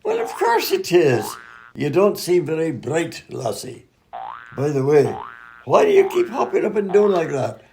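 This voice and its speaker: A man voicing an eccentric, gruff Scottish farmer in a comedy radio play, folksy and matter-of-fact about absurd things. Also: on the high side, wildly swooping pitch, a little slow, a little mellow, slight background noise, exaggerated voice. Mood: breezy, odd. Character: eccentric old-fashioned Scottish farmer, touchy, gruff host.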